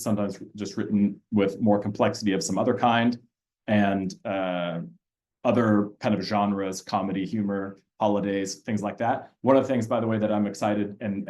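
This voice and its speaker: Low-pitched man, German native speaker, casual webinar presenter, chatty with frequent ums and restarts. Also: darkish tone. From Austria. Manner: conversational, frequent fillers and restarts. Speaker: language teacher presenting a reading platform. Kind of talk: webinar walkthrough with screen sharing.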